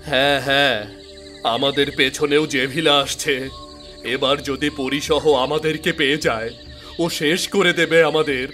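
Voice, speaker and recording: A man's voice: on the high side, fairly even intonation, moderate pace, bright, forceful tone, soft background music. A man voicing a humble, anxious woodcutter father in a cartoon fairy tale. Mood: alarmed. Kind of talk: animated fairy-tale dialogue.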